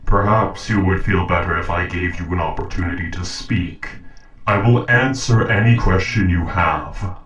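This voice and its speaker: A man playing a calm, earnest young robot captor in an audio roleplay, speaking formally and precisely. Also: low voice, roomy sound, far from the mic, poor audio. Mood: firm, reasoning. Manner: formal, measured, polite, precise. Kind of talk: scripted audio roleplay drama.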